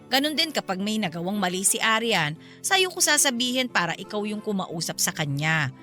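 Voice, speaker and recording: A woman's voice: mid-range voice, sing-song, moderate pace, bright, forceful tone, soft background music. A woman playing a frank, feisty middle-aged Filipino mother and forgiving best friend in a radio drama. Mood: frank, advising.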